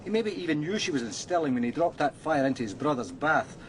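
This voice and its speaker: Scottish police sergeant, blunt, dry, fairly high male voice, radio drama, clear rumble behind. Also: sing-song, fast talker. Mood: reporting, sombre. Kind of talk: radio crime drama acting.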